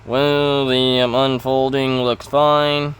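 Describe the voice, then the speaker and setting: A man giving casual commentary over farming gameplay, halting and often correcting himself as he thinks aloud. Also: mid-range voice, flat delivery, a bit bright, clear, resonant tone. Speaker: gaming video host.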